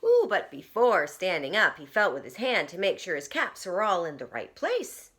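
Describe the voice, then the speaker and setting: A woman reading a picture book aloud to young children like a teacher, dramatically, dropping into hushed whispers and coaxing listeners with questions. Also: lowish voice, huge pitch swings, normal speed.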